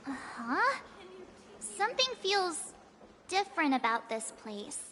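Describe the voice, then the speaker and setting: Very high, bright inquisitive girl. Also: very animated, takes it slowly, a little hiss or hum, heavily acted. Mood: curious. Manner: musing, questioning. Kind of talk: anime-style video game voice acting. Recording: music behind the voice.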